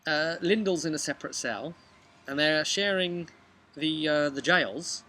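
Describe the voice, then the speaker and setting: A man in his thirties talking casually to camera as a game master, conversational and a little halting, thinking aloud as he goes. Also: a little high, pushed and bright. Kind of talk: casual vlog recap of a role-playing session. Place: outdoors on a bench beside a brick house.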